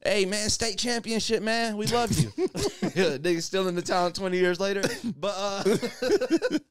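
A man's voice: high-pitched, expressive, a little slow, clear, resonant tone. A man around his thirties telling comic stories in casual, slangy banter as a podcast co-host, often laughing through his words. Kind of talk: casual comedic storytelling and banter. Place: small indoor podcast room, seated. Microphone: boom-arm studio microphone.

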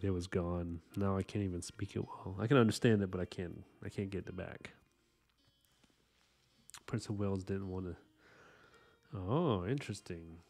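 A man whose first language was Korean talks in a deep, easygoing ramble to his live chat from a home art desk. He fills pauses with "um" and "like", and soft music sits faintly underneath. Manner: relaxed, rambling, many fillers. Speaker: artist streamer. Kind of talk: casual chat with live chat while painting.

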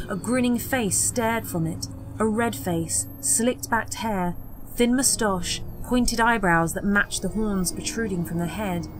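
A woman's voice: medium pitch, slight background noise, rough recording. A woman reading a scripted horror audiobook as narrator, with a dramatic, suspenseful delivery.

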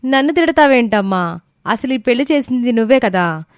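A woman's voice: on the high side, lively intonation, moderate pace, dry recording, clean studio sound, close to an everyday voice. A woman voicing a long-suffering, resentful young married daughter who complains plaintively in an audio story. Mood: hurt, accusing.

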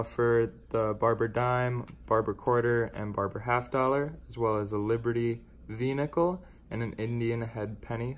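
A young man chatting casually as a coin-collecting vlogger, relaxed and rambling with filler words, filmed on a phone. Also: mid-range voice, a little hiss or hum. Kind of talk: casual vlog chat. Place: car, then indoors at a desk.

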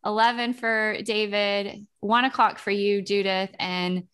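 A woman's voice: mid-range voice, fairly even intonation, a little slow, a bit bright, clear, resonant tone. Upbeat woman hosting a panel. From Texas. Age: 40s. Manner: upbeat, welcoming, talkative. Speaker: podcast host. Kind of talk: video call podcast panel. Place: home room indoors. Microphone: video call with headset mic.

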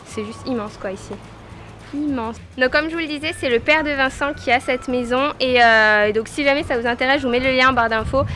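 A woman's voice: sits high, fairly quick, pushed and bright, a little hiss or hum. A woman in her twenties giving a casual, enthusiastic holiday vlog tour on a handheld camera in a villa. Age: 20s. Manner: enthusiastic, exclamatory. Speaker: vlogger. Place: holiday villa, rooms and terrace. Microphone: handheld camera at arm's length. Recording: echoey rooms, music over the voice.

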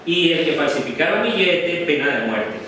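A man's voice: on the high side, animated, pushed and bright. Man, classroom lecture, distant camera, strong room echo, background noise. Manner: unhurried, many "ok?" checks with the audience. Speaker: guest lecturer. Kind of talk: classroom presentation with slides. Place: darkened classroom with projector screen. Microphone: far camera. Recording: very echoey room from far off, noisy.

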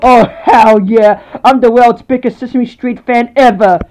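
A woman's voice: fairly high pitch, fairly even intonation, unhurried, dark tone, natural voice, rough recording. Woman putting on jealous, scheming unicorn Perky. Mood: overeager. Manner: melodramatic, muttering to self. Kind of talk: homemade comedy skit acting.

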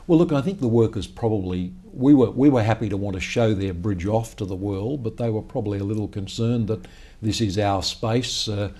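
Businessman, measured and dark-toned. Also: on the low side, slightly rough. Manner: measured, storytelling, frequent "well, look" openers. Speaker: company founder and chief executive. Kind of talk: business interview. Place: high-rise office meeting room with city view. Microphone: lapel mic.

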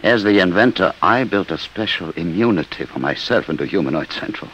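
An actor playing a grave, remorseful inventor, speaking deliberately in a 1950s radio drama. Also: male voice, low voice, expressive, a bit bright. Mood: urgent warning. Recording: hissy, dull vintage radio recording.

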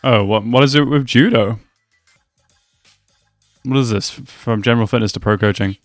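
A man's voice: medium pitch, normal speed, dry recording, faint music behind. A man in his twenties giving slangy, amused reaction commentary in a casual way, close to a desk microphone. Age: 20s. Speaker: reaction video host. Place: small indoor room.

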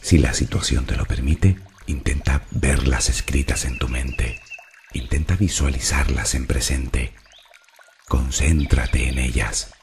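A man from narrating a guided meditation in a slow, calm, soothing and deliberate way. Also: rumbling low, vocal fry, a little hiss or hum.

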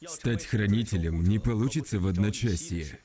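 A man playing a serious, authoritative martial guardian in a dubbed animated fantasy, stern and instructive. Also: on the low side, normal speed, natural voice.

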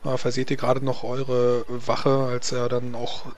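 Casting: male game master narrating a fantasy scene, medium pitch, light background noise. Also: monotone, a little slow. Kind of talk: improvised tabletop game narration.

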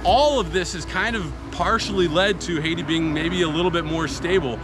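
A man's voice: fairly high pitch, normal speed, over music. Upbeat man chatting outdoors. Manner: upbeat, chatty, frequent fillers. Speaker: farm nonprofit founder. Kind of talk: casual video update. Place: outdoors by a cornfield.

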